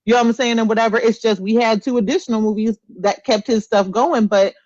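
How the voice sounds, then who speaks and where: A woman's voice: on the high side, steady tone, fast talker, a little mellow, dry recording. A woman co-hosting a podcast in casual, opinionated chat, repeating phrases emphatically to drive her points home. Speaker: podcast co-host. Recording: dull, lo-fi close dry audio.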